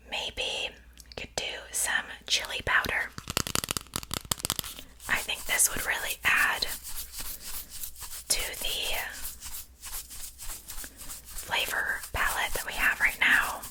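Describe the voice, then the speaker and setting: A chatty, faintly haughty witch, acted out by a woman for an ASMR roleplay. She speaks entirely in a breathy whisper with a very bright, sharp edge. Her delivery is unhurried and confiding, as if sharing gossip over her cooking. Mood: musing, haughty.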